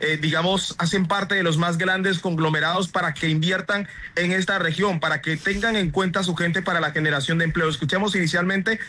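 Male field reporter from La Guajira, high bright voice, formal live-news read. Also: steady tone, brisk. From La Guajira, Colombia. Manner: clear, formal report delivery.

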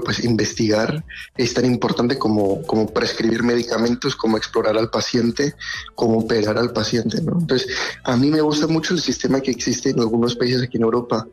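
A man's voice: medium pitch, rough recording. Young man with a faint Colombian accent. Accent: faint Colombian, softened by years in Spain. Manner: earnest, thoughtful, hesitant. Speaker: medical researcher, interview guest. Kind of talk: radio interview.

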